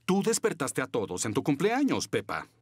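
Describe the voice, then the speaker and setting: A man voicing a jolly, warm-hearted father pig in a children's cartoon, playful and reassuring. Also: medium pitch, huge pitch swings, normal speed, slightly rough, no room sound.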